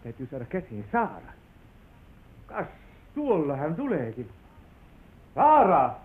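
A man's voice: fairly high pitch, wildly swooping pitch, slight background noise. An actor playing an exuberant, tender country landowner who is a new father, in old Finnish radio play style, effusive and sentimental. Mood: jubilant, excited. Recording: narrow, tinny old radio broadcast.

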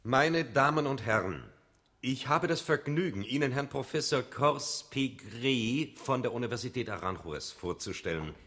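An actor playing a formal, solemn academic lecturer in a scripted radio play, explaining things pedantically. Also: male voice, medium pitch, fairly bright tone, a little hiss or hum, lightly acted. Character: formal academic lecturer presenting exotic music research.

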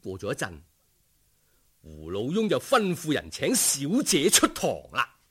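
A man with a Cantonese accent narrating a folk tale as a dramatic, expressive storyteller who savours each description. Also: mid-range voice, measured pace, breathy edge.

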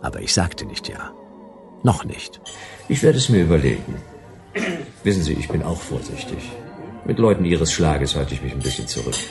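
Deep, gravelly private detective. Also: male voice, normal speed, pauses often, music in the background, heavily acted. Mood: dry, wry. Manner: laconic, dry, deadpan. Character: wry, world-weary private detective in a sci-fi future. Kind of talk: first-person noir narration and dialogue in radio drama.

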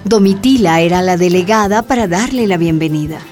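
A woman's voice: fairly low pitch, brisk, a little mellow, faint music behind. A woman reading scripted narration for a historical radio drama.

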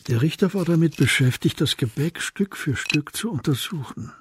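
An actor as the narrator of a radio crime drama, reading literary description in a measured, composed manner. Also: male voice, a little high, expressive, slightly rough, no room sound. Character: composed literary storyteller of a detective tale.

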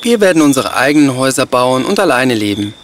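A man's voice: medium pitch, sing-song, quick pace, a little hiss or hum, lightly acted. A man voicing a wise, sensible young male pig who speaks in an earnest, preachy way in a children's fairy-tale cartoon. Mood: earnest, reasoning.

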